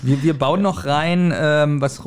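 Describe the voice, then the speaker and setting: Ein Mann, der als Co-Host eines Podcasts ungezwungen plaudert. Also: male voice, a little high, slow pace, slight background noise. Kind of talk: casual podcast chat.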